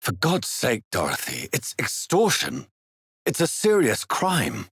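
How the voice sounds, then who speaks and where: A man playing an earnest, stern gentleman doctor of early twentieth-century England, secretly a vampire, in a video game, with formal, measured, intense diction. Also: mid-range voice, huge pitch swings, creaky, breathy edge, dry recording, clean studio sound, full character voice. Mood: stern, indignant. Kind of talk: dramatic video game voice acting.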